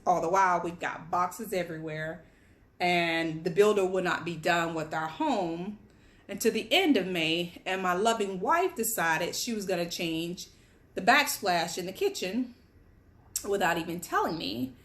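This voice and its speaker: A woman's voice: fairly low pitch, measured pace, fairly bright tone. A young woman from Guyana, pregnant and on bed rest, telling a candid personal story in a casual chatty way while sitting at a table at home. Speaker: vlogger. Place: home, at a table among boxes.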